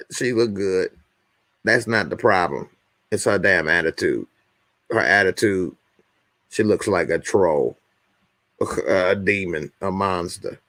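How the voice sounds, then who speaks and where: Joking man in his forties, low voice. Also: bright, forceful tone, dry recording. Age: 40s. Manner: joking, repeats lines for emphasis. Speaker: YouTube show host. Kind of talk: casual chat to viewers.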